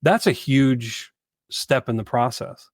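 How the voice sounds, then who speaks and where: Man, earnest and measured, average pitch, dry close sound. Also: no echo. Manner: earnest, measured, repeats words for emphasis. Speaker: podcast co-host. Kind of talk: reflective conversation.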